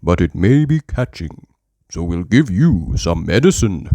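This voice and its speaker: A big male bear doctor performed by a storybook reader speaks with calm authority. The delivery is slow, deliberate and reassuring, with stately drawn-out phrases and a dry, close sound. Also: low voice, no room sound, heavily acted. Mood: calm, assured.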